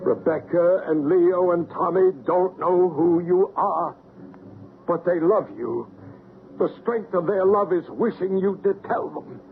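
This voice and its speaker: A man's voice: high-pitched, measured pace, vocal fry. An actor playing an earnest, solemn man leading a séance in an old radio drama, intent and probing. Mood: urgent. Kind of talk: old-time radio drama acting and narration. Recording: narrow, noisy old radio recording.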